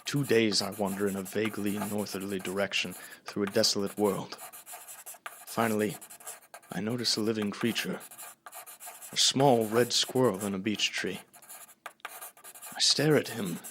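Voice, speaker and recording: A man's voice: on the low side, steady tone, fairly bright tone, a little hiss or hum, low-quality recording. A young actor playing a haunted professor, a lone survivor solemnly narrating his journal in a theatrical radio drama. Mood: weary, watchful. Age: young adult. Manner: solemn, deliberate, brooding.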